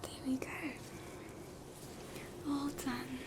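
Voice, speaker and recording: A woman with an Australian accent whispering a gentle, reassuring ASMR roleplay as a spooky hospital doctor. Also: high-pitched, flat delivery, extremely slow, heavy background noise. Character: soothing, spooky hospital doctor treating hauntings. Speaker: ASMR performer playing a doctor.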